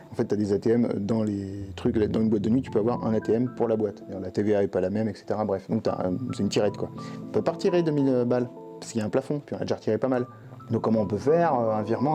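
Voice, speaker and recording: A young adult man, telling a personal anecdote to camera in casual, slangy vlog talk with some swearing. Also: mid-range voice. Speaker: YouTuber. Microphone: face camera.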